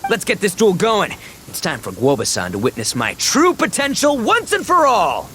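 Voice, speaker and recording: An actor playing a brash, hot-blooded young oni gang leader in a video game, boastful and given to bombastic declarations. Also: male voice, high voice, huge pitch swings, moderate pace, fairly bright tone, a little hiss or hum, full character voice. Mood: cocky.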